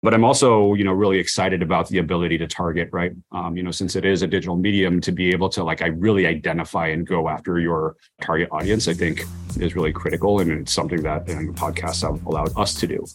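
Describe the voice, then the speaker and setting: A man's voice: sits low, steady tone, fast talker, soft background music. A man giving casual, conversational interview answers about his work, often saying "you know" and "like". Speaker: brand marketer, interview guest.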